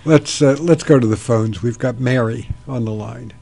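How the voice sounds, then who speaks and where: A man's voice: medium pitch, moderate pace, vocal fry, warm tone. An older man hosting a radio talk show, asking guests questions and taking calls in a genial way. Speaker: radio host.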